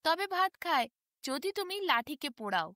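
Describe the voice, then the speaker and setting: Storybook tailorbird, played by a woman: tiny, quick-tempered, wheedling in bright sing-song. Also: high-pitched, sing-song, no room sound, studio quality, exaggerated voice. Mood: bargaining. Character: small indignant pleading tailorbird, quick to anger.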